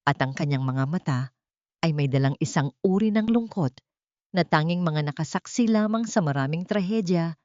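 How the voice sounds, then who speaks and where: A woman narrating a dramatic audiobook story in a measured, solemn manner. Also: low-pitched, expressive, darkish tone, no room sound. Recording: dull, dry lo-fi recording.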